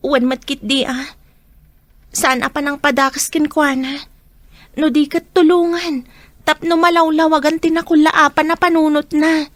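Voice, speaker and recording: A woman's voice: sits high, fairly even intonation, a little slow, no echo. An actress playing an earnest young female student concerned for her friend, in an Ilocano radio drama.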